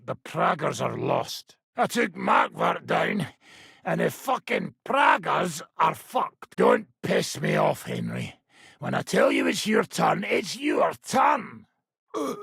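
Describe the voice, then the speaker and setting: Blunt mercenary captain, male, heavy rasp and grit, forceful edge, high-pitched, swearing, gruff, medieval game character. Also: dry recording, clean studio sound, exaggerated voice. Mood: irritable. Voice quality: gravelly, raspy. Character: coarse, combative, foul-mouthed medieval mercenary commander. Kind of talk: video game character acting.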